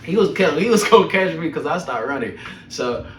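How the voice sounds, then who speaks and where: A man's voice: fairly high pitch, expressive, brisk, a bit bright, slight background noise. Young man, echoey room, distant mic, slangy casual storytelling, profane, chuckly. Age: young adult. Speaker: storyteller host of a personal podcast.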